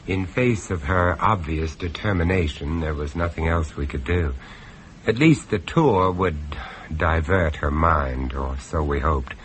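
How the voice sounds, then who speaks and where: An actor playing a grave, observant gentleman who narrates a horror tale in a radio play, in a dramatic storytelling style. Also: extremely low pitch, measured pace. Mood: grave, tense. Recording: noisy old radio drama recording.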